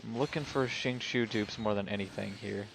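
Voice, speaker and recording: A man's voice: fairly low pitch, normal speed, gravelly, rough recording. A man streaming a video game, giving casual live commentary and thinking aloud as if talking to himself. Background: video game music.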